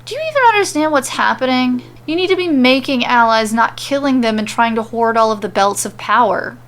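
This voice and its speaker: A woman's voice: fairly high pitch, animated, brisk, fairly bright tone. A woman voicing an exasperated, sarcastic fire mage heroine in an audio story, indignant in manner.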